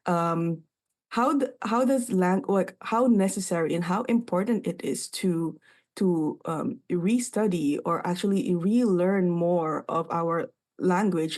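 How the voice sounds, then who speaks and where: Filipino woman host, lower voice. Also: darkish tone. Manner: rambling, fillers, searching for words. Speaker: podcast host. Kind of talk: interview question.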